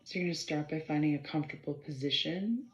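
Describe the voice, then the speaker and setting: A woman leading a guided meditation in a measured, halting way, pausing between short phrases and often clearing her throat. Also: low-pitched. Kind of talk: slow guided meditation instruction. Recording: roomy, slightly dull sound.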